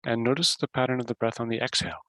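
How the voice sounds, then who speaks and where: A man leading a guided meditation as host, giving simple instructions in short phrases with long pauses. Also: medium pitch, level tone, sharp tone, dry recording.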